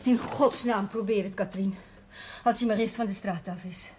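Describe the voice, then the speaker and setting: A woman's voice: medium pitch, fairly even intonation, normal speed, darkish tone, lightly acted. An actress playing an exasperated, nagging mother of a grown idle son in a television period drama. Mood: worried. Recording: faintly noisy 1970s television soundtrack.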